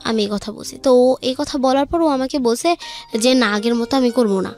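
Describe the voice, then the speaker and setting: A woman's voice: fairly high pitch, normal speed, darkish tone, faint music behind. A young woman with a Bangladeshi accent, a guest telling her own life story in informal speech. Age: young adult.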